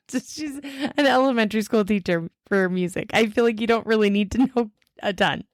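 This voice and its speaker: A woman co-hosting a podcast, chatty and quick to react, with frequent laughter. Her voice is at a middle pitch for a woman. It is recorded close and dry, with no room sound. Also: no room sound. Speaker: podcast co-host. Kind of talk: casual chat.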